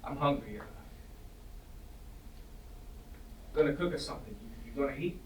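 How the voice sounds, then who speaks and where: A man's voice: mid-range voice, expressive, very fast, a little mellow, lightly acted. A man playing a troubled, evasive young son in a staged play reading, hesitant and subdued. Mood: withdrawn. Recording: distant roomy stage, faint noise.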